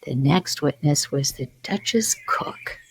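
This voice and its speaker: Bedtime storyteller, female, low register, very unhurried, soothing scripted narration. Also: animated, in a whisper. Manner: soothing, measured.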